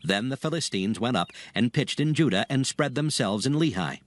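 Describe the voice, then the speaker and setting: A man narrating scripture as a solemn, measured storyteller in a dramatized audio production. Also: medium pitch, expressive.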